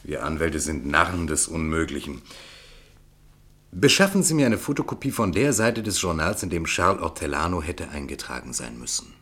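A shrewd defence lawyer for a radio play. His voice is forceful and very animated, moving between grand rhetoric and dry, courteous counsel. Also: extremely low pitch, full character voice. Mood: measured, pensive. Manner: rhetorical, emphatic in court, dry and measured in private. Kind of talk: German radio play acting.

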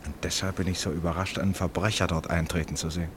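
An actor playing an eccentric, stubborn retired gentleman in a German radio play, musing and drily ironic. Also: low voice, level tone, fast talker, background noise, lightly acted. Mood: wry, musing.

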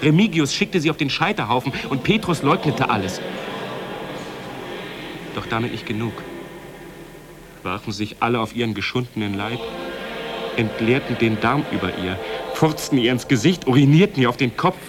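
An actor playing an earnest, impressionable young novice monk in a German radio drama, speaking with intense, incantatory delivery. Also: male voice, medium pitch, lively intonation, moderate pace, pauses often, close to an everyday voice. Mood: feverish visionary. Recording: clearly noisy ambience behind voice.

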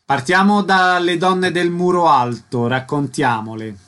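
A man's voice: a little high, a little slow, a bit bright. A man speaking as a radio interviewer, delivering scripted introductions and questions.

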